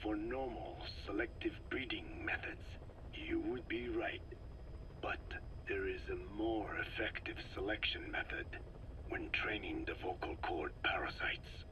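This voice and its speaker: An actor playing a frail, soft-spoken scientist in a video game, explaining slowly and formally in a breathy rasp. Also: male voice, on the low side, whispered, natural voice, low-quality recording. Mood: calm, explanatory. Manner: slow, formal, measured, scholarly phrasing. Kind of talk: video game voice acting, recorded interrogation.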